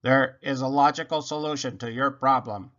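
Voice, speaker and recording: Polite storybook robot acted by a man: logical, formal, deliberate, bright and clipped. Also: medium pitch, fairly even intonation, muffled recording, close to an everyday voice. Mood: calm, helpful. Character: calm, logical, polite male household robot. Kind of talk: children's storybook character voice.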